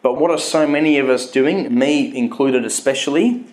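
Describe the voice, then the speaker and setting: A man's voice: mid-range voice, moderate pace, a little mellow. A man in his thirties with a stutter, giving a candid, earnest personal talk on faith to a live audience, often restarting his words.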